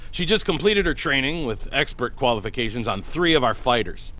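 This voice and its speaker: A formal starship captain played by a man with a bright, forceful voice. His intonation moves in a lively way while he stays courteous and authoritative, as in a science fiction audio drama. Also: mid-range voice, a little fast, rough recording. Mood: formal, welcoming.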